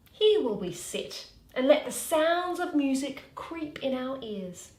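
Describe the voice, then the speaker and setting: A woman playing a tender, playful young man in love, reading Shakespearean verse in a lyrical, measured way with breathy line endings. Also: high voice, reverberant, heavily acted, low-quality recording. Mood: awed, tender. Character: tender, playful young male lover musing poetically by moonlight.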